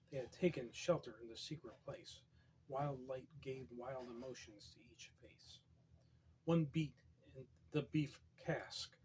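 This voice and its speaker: A man with a hoarse, fading voice, reading poetry aloud and drifting into wry, rambling asides, keeping his voice soft and quiet. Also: medium pitch, slight background noise, rough recording. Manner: quiet, soft-spoken, wry deadpan humor. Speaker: poetry reader.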